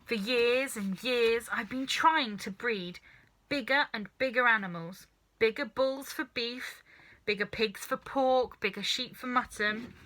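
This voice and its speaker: A woman voicing a small, excitable father who yells in short, worked-up bursts in a storybook reading. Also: fairly high pitch, unhurried. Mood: eager. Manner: yelling, exclaiming breathlessly in short bursts.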